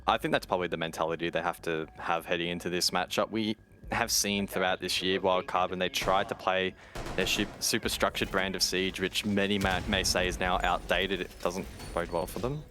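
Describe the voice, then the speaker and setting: A man's voice: low-pitched, level tone, a little fast. A man giving live commentary and analysis on an esports match.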